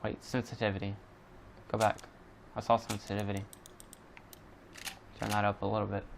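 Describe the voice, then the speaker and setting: A man's voice: lowish voice, level tone, moderate pace, creaky, slight background noise. A man giving casual, rambling commentary while he plays a video game. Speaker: gamer recording a playthrough.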